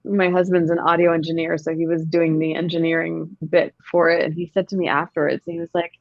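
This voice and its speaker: A woman's voice: sits low, flat delivery, brisk, vocal fry, dry recording, dull audio. A woman in her thirties, an actor talking in a casual interview, reflective and emphatic. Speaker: actor, podcast guest.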